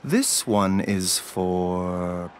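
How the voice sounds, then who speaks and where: A man voicing a gentle, courteous male herbal doctor in a video game, speaking in polite, measured, formal phrases. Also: deep voice, background noise. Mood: calm, courteous. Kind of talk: scripted game dialogue. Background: music.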